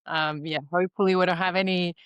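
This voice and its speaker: A woman's voice: on the low side, little pitch movement, fast, clear, resonant tone, no echo, highs cut off. Argentine woman with Australian-tinged accent. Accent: Argentine Spanish with an Australian English tinge. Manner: friendly, thoughtful, frequent fillers. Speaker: guest. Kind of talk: casual interview conversation. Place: remote, on a video call from home.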